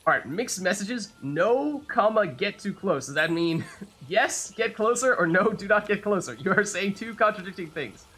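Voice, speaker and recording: Bright-voiced man, chatty game streamer. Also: sits high, expressive, normal speed, breathy edge. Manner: chatty, thinking aloud, musing. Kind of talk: casual live let's play commentary.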